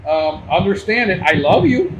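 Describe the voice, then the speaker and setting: A combative American man speaks casually and unscripted, like a live podcast host. His voice sits a little high for a man. The sound is dull and lo-fi, with heavy noise behind him. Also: sing-song, normal speed. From Cuban, grew up in New Jersey and Miami. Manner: blunt, combative. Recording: muffled, loud background noise.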